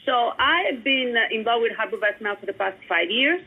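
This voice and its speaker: A woman's voice: on the high side, animated, moderate pace, breathy edge. A woman from Italy with an Italian accent, a guest investor explaining her firm in a businesslike way. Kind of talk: interview answers. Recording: tinny phone-like line.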